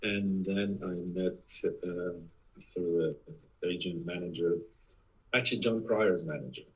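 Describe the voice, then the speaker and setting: A low, husky-voiced man in his sixties speaks English with a Polish accent. He talks haltingly as an interview guest, filling pauses with uh and restarting his sentences. His tone stays deep and slightly breathy throughout. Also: fairly bright tone, rough recording. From Poland. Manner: halting, many fillers and restarts. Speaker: guest, production designer. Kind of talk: casual interview.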